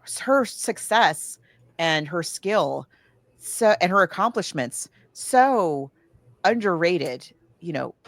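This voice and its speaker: A woman podcast host with a slightly low, very lively voice. She tells stories casually and ramblingly, slipping into quoted lines as she goes. The sound is dry, with little room on it. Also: takes it slowly, no echo. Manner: rambling, animated storyteller who acts out quotes. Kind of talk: casual chat about books and films.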